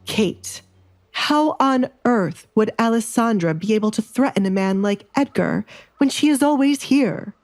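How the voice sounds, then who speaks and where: A woman's voice: a little high, expressive, a little slow, a little mellow, voice pushed up. A woman playing a flustered, worried middle-aged baron in an audio drama, sounding exasperated.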